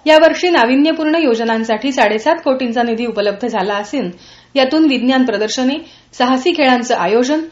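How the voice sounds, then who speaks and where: High-pitched woman reading radio news. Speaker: radio newsreader. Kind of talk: scripted regional news bulletin reading. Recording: dull band-limited radio audio, roomy echo.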